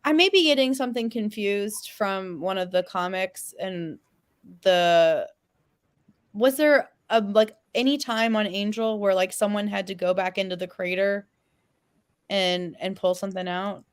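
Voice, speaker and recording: A woman's voice: medium pitch, unhurried, clean tone, no room sound, clean studio sound. A woman in a casual panel discussion, talking hesitantly and loosely with lots of filler "like"s. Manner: hesitant, rambling, with many "like"s.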